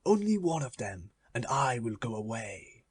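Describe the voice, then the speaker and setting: Man playing Death, a husky, weary, insistent spectre. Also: mid-range voice, big pitch swings, unhurried. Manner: solemn, archaic storybook speech.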